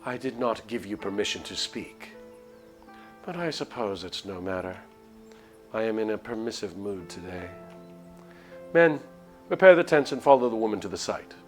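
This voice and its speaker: A man's voice: lowish voice, lively intonation, normal speed, music in the background, natural voice. A man acting an imperious icy nobleman. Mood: icy, lofty. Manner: imperious, formal, sighing. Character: haughty, icy nobleman, irritated and commanding.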